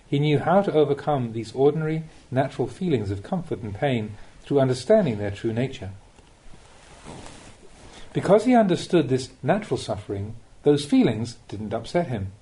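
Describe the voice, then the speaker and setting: Mellow-voiced man reading a Dhamma talk. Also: medium pitch, sing-song, normal speed, low-quality recording. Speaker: Buddhist monk reading a teacher's collected talks.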